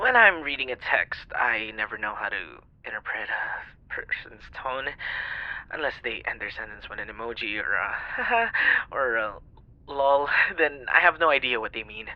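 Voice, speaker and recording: A man's voice: mid-range voice, no room sound, close to an everyday voice. A man playing a flustered, apologetic young stranger on a phone call, rambling and hesitant in an intimate ASMR roleplay style. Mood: gentle, self-deprecating. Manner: hesitant, stammering, sighing, rambling.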